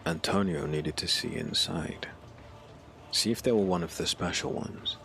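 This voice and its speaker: A man narrating a dark fantasy audiobook in a solemn, dramatic, scripted style. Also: deep voice, unhurried, creaky, slight background noise. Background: background music.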